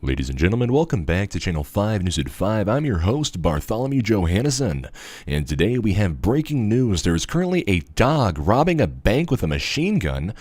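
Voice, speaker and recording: A man's voice: big pitch swings, normal speed, darkish tone, no room sound. A man putting on an over-dramatic TV news anchor voice for a mock newscast. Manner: booming, exaggerated radio announcer delivery.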